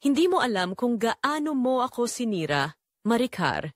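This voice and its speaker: A woman with a Filipino accent playing a betrayed middle-aged mother, telling her story solemnly and deliberately in an audio drama. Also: on the high side, very animated, dry recording, studio quality. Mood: hurt, resolute. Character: betrayed middle-aged mother, wounded but quietly resolute. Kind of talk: dramatic first-person audio story narration.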